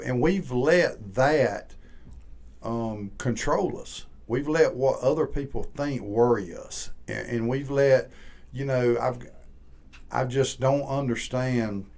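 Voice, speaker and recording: A man's voice: mid-range voice, a little mellow. Rambling Southern man in his forties. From Southern US, Georgia. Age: 40s. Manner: rambling, repeats words when starting a thought. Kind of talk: casual chat.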